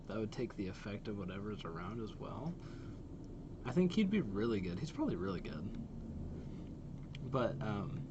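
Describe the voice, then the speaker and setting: A man's voice: medium pitch, dull audio, noisy background. A man giving casual reaction commentary as host of a video, watching game trailers. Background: game trailer music.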